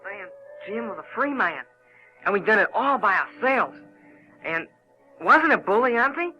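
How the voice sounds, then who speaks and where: Sharp, high-voiced Southern boy. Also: big pitch swings, measured pace, faint music behind, full character voice, rough recording. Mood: proud, eager. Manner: eager, insistent. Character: bold, excitable rural Southern boy. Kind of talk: audio theater acting.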